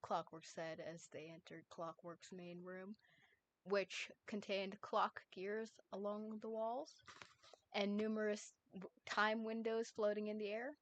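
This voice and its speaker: A woman narrates fan fiction for a stream in an ordinary mid-range voice. Her reading is plain and steady. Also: mid-range voice, measured pace, a little hiss or hum, poor audio. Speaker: fanfic reader and streamer.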